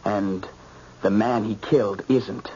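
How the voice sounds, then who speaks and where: A man's voice: lowish voice, steady tone, takes it slowly, warm tone. An actor playing a bitter, obsessed young man, a stubborn and accusing grown son, in old-time radio style. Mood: obsessed, insistent. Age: young adult. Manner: insistent, accusing. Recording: muffled, hissy old radio recording.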